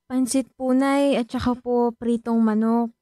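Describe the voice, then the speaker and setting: High-pitched young daughter voiced by a woman. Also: flat delivery, unhurried, dark tone, no echo, clean studio sound, natural voice. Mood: worried, pleading. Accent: Filipino. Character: dutiful, wounded young daughter, a bookish student. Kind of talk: Filipino radio drama acting.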